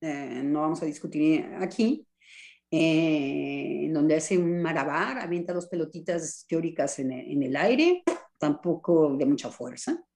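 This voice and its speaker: Mexican woman chatting with colleagues, a bit low voiced, ironic, lots of ehs and sighs. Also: unhurried. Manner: animated, ironic, with many hesitations and sighs. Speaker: discussion participant. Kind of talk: casual discussion among colleagues.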